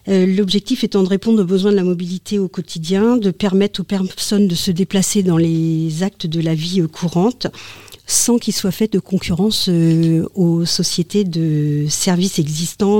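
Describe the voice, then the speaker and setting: A woman with a soft, mellow voice explains a local service in an interview. Her wording is formal but halting, and the sound is close and dry. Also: lowish voice, dry recording. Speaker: community transport coordinator being interviewed.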